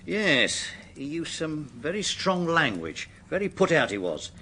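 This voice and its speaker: A man's voice: on the high side, sing-song, unhurried, sharp tone, a little hiss or hum, full character voice. An actor playing a deferential, plain-spoken British male warder in a radio drama, matter-of-fact in manner.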